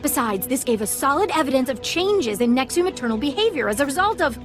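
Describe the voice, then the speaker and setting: High, animated zealous woman researcher. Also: quick pace, music in the background. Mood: impatient zeal. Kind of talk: video game cutscene acting.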